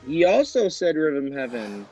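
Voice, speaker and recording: A man hosting an amateur quiz show, upbeat and brisk as he announces questions. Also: fairly high pitch, big pitch swings, darkish tone, highs cut off, soft background music.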